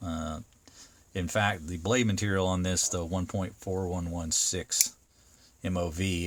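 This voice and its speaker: Guy with a really low voice doing a casual unscripted review video, easygoing, kinda meandering. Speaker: product reviewer and video host.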